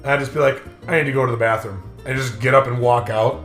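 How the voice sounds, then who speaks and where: A young American man chatting casually as a game co-host, telling rambling stories with sarcastic, dark humour. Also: medium pitch, steady tone, fast. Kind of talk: casual joking chat, storytelling. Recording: roomy echo, faint music behind.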